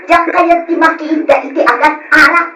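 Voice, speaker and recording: A woman's voice: high voice, fairly even intonation, normal speed, music in the background, natural voice. Nagging young wife in a radio drama, played by a woman. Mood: exasperated. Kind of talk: old-style radio drama acting.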